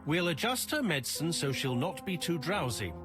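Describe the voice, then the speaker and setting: Obliging gentleman, fairly high voice, expressive melody, polite, formal, game dialogue with music behind. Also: moderate pace.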